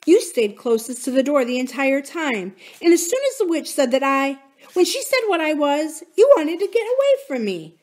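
A woman's voice: a little high, very animated, normal speed, hardly any pauses, pitched above the performer's own voice. A woman voicing a stubborn, hurt young girl who speaks intensely and defiantly in an audiobook story. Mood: hurt, accusing. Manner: intense, emphatic.

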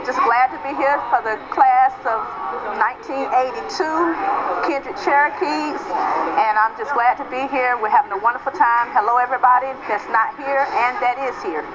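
40s woman, highish voice, slow casual video hello, muffled recording. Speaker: class reunion attendee. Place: indoor hotel hallway. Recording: dull lo-fi camcorder, loud room noise.

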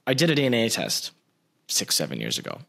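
A man in his thirties hosting a podcast, talking in an animated way into a large studio mic in a small room at home. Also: lowish voice, quick pace, creaky. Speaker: podcast host. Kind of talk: casual interview talk. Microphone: large studio mic with headphones.

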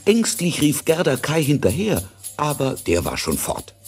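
A man narrating a scripted fairy tale in a dramatic, deliberate storytelling manner. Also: fairly high pitch, huge pitch swings, soft background music.

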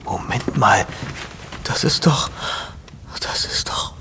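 An actor playing a detective's flustered, easily amazed sidekick in a German audio drama, sheepish and exclamatory. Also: male voice, slow pace, bright, forceful tone, whispered. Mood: surprised.

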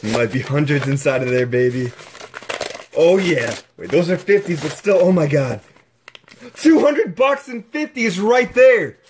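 Excitable young man vlogging a find. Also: fairly high pitch, a little slow, slight background noise. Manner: excitable, exclaiming over finds. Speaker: exploration vlogger. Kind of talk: excited vlog narration.

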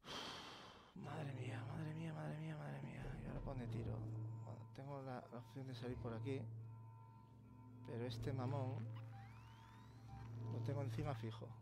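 A man's voice: medium pitch, fairly even intonation, normal speed, vocal fry, pauses often, loud music behind the voice. A man with an accent from Spain giving casual, sweary let's play commentary, muttering to himself. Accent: Spanish, from Spain. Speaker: gamer. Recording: loud game ambience behind voice.

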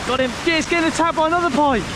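A young man giving casual, excitable fishing commentary, exclaiming and repeating his phrases outdoors by a river. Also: very high-pitched, very noisy. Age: young adult. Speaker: angler vlogger. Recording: outdoor action camera with music and rushing water. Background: music, rushing water.

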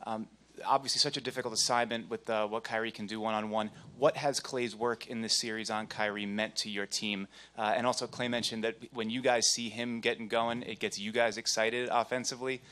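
A man's voice: fairly low pitch, level tone, fairly quick, bright, forceful tone. A man, a sports reporter, asking a long question into a handheld mic, hesitant with many fillers. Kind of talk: press conference question.

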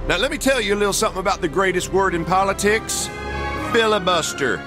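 Man doing a high, blustering congressman. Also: fast talker, music in the background, natural voice. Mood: indignant. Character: blustering, indignant male congressman.

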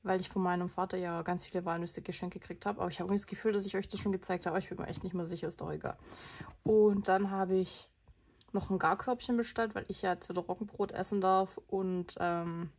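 A woman's voice: on the low side, steady tone, a little fast, a little mellow, slightly rough. A woman with a cold hosting a budgeting vlog, chatting casually and rambling through her expenses with lots of fillers. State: has a cold. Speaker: budgeting channel host.

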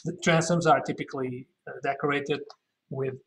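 A man's voice: mid-range voice, steady tone, normal speed. A man guiding an informal virtual walking tour, thinking aloud and often correcting himself as he explains. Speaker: architectural tour guide.

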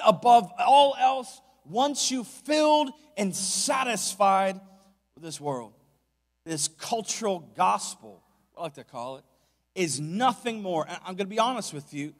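A man in his forties with an American accent preaching a sermon emphatically into a handheld microphone, moving between quiet asides and loud stresses. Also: sits high. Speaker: pastor preaching. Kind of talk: sermon to a congregation. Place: large church stage, indoors. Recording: long hall reverb from stage PA.

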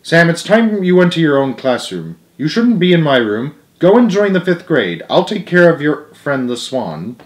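A young man voicing a stern, brisk female first-grade teacher in a storybook, with emphatic classroom commands. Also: fairly high pitch, big pitch swings, voice pushed up.

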